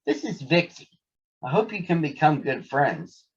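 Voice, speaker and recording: Guy doing excitable motherly lady owl for a kids story, high hooty voice, bit lo-fi. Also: measured pace. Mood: warm, glad. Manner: exclaiming, hooting. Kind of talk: children's storybook reading.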